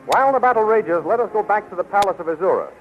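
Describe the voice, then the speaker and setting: A man's voice: high voice, animated, fast talker. A man as a dramatic narrator reading scripted adventure-serial narration in 1930s radio style. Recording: muffled old radio recording, music behind.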